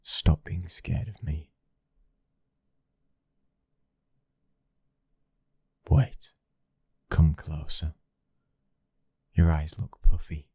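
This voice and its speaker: Very deep, husky British mafia heir, acted by a man. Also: steady tone, takes it slowly, a little mellow, no echo, full character voice. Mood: soothing, coaxing. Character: brooding, dominant young mafia boss's son, possessive and menacing. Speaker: ASMR roleplay voice actor. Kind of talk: intimate ASMR boyfriend roleplay acting.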